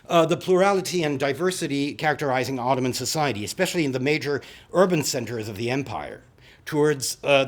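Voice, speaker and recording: A university lecturer with a Turkish accent and a loud voice giving a wordy conference talk, with fillers and restarts, into a microphone in a reverberant room. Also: male voice, medium pitch. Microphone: handheld or podium microphone.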